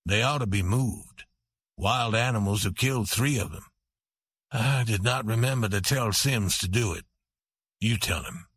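A man voicing a bitter, resentful rancher husband who talks slowly, low and brooding. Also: fairly bright tone, husky, no echo, studio quality. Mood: curt.

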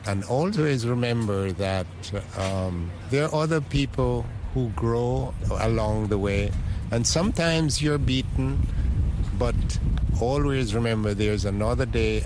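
Low-voiced man from Jamaica, earnest and deliberate. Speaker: interviewed sports fan. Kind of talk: street interview, measured answers. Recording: outdoor urban background noise.

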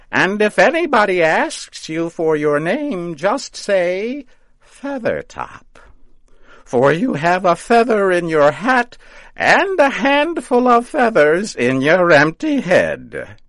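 A man voicing an old witch woman, gleeful and commanding, with a high, loud, drawn-out delivery. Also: wildly swooping pitch, no room sound, studio quality, full character voice.

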